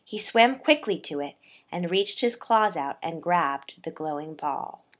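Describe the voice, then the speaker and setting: A woman narrating a poetic tale for a puppet show in a measured, deliberate storytelling manner, letting her phrases soften as they end. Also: fairly low pitch, lively intonation.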